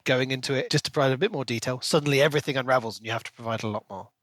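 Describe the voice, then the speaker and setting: A man's voice: medium pitch, very fast, a bit bright, no room sound. A man co-hosting a book podcast, speaking in a conversational way close to the microphone. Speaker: podcast co-host. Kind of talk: casual book discussion with prepared recap.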